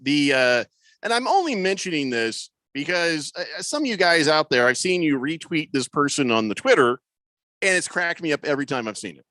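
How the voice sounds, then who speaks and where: Bright-voiced man hosting sports radio. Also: fairly high pitch, sing-song, normal speed, dry recording. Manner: storytelling, reads listener comments aloud. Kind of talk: casual talk show banter.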